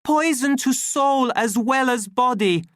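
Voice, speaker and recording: A reader playing an impassioned, naive young male outsider in an audiobook, declaiming in a loud, ringing way. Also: high-pitched, takes it slowly, dry recording, clean studio sound, pitched above the performer's own voice. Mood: desperate pleading.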